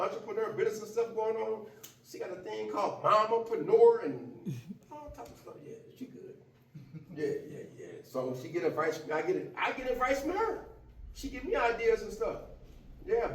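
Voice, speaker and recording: A man in his fifties giving a casual talk to a class, animated and often laughing as he jokes with the audience. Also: sits high, brisk, distant mic. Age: 50s. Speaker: motivational speaker. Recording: echoey room, from afar, faint noise.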